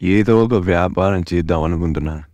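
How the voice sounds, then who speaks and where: A man's voice: deep voice, a little fast, darkish tone, dry recording, muffled recording, close to an everyday voice. A man playing a troubled, unlucky poor farmer in a cartoon story. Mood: downcast.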